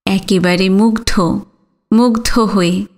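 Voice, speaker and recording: A woman's voice: medium pitch, steady tone, takes it slowly, a little mellow, voice pushed down. A woman voicing a teasing young elder sister who is playful and easily flustered, in an audiobook. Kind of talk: audiobook character dialogue.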